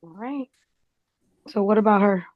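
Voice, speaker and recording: A woman's voice: medium pitch, a little slow, no room sound, close to an everyday voice. A woman acting a sassy, protective office colleague in a drama table read, frank and colloquial, on a slightly muffled recording.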